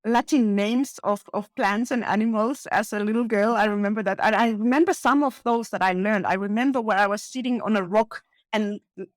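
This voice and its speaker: A woman's voice: medium pitch, brisk, no echo. A woman from northern Finland, a guest talking eagerly about her work, laughing often and restarting her phrases. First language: Finnish. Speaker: guest parasitologist. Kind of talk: casual podcast chat.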